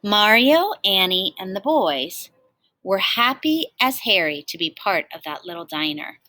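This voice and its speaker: A woman reading a children's storybook aloud in an expressive, animated way, like a teacher talking to young listeners. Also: medium pitch, a little slow.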